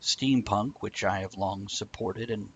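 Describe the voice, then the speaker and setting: Low-voiced man hosting a casual chat. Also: a little slow, husky. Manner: rambling, stumbles over words. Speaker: show host. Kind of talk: casual live-stream chat.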